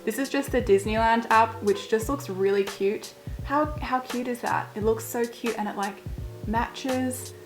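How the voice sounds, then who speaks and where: Aussie girl vlogging, kinda croaky like she's losing her voice, says like a lot, soft music underneath. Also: a little high, steady tone, measured pace. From Australia. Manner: chatty, lots of "like" fillers. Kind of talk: casual walkthrough.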